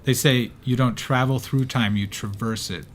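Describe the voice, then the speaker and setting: A man's voice: mid-range voice, fairly even intonation, normal speed, a little hiss or hum. A man hosting an audio drama podcast, presenting scripted introductions in a formal but tongue-in-cheek manner. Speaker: podcast host. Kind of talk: scripted, in-character show introduction.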